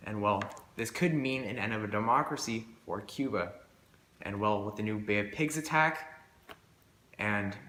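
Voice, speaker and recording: Male amateur newsreader, lowish bright voice, scripted bulletin delivery, recorded in an echoey room. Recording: echoey room with faint background noise.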